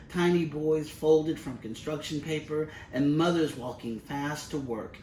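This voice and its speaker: A man reading a children's storybook aloud expressively, in measured, deliberate phrases with pauses. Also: on the high side. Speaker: picture book reader. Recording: roomy, distant mic with faint noise.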